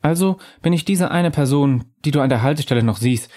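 A man reading a story, voicing an elusive female ghost in a bar with a raised, soft, earnest voice. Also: on the high side, brisk, voice pushed up, close to an everyday voice. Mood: wistful.

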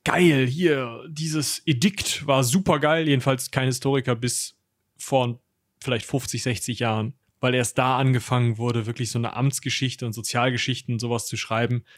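A man co-hosting a relaxed history talk. He speaks colloquially, dropping slang and jokey asides into his explanations. The sound is dry, with a mid-pitched voice. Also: normal speed, no echo. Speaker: history podcast co-host. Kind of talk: casual conversational history discussion.

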